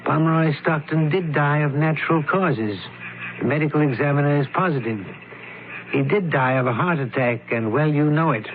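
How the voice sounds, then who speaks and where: Man acting shrewd doctor detective in a radio play, measured n exact, tinny noisy recording. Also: fairly high pitch, natural voice. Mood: coolly accusing. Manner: measured, precise, deliberate explaining. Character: shrewd, candid older male doctor, amateur sleuth. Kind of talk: old-time radio drama acting.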